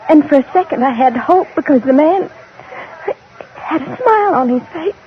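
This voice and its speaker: A bitter, haunted widow in an old-time radio drama. Her voice is high and halting, full of feeling, on a muffled vintage recording with faint noise. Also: animated. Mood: anguished, haunted. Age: middle-aged. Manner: halting, emotional, repeating phrases.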